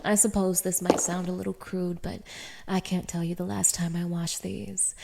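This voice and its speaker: A woman's voice: fairly low pitch, level tone, normal speed, gravelly, very noisy. A woman playing a cruel, haughty young dominatrix in an audio roleplay, speaking straight to the listener with taunting, commanding contempt. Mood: scornful, menacing. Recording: reverberant, echoing room.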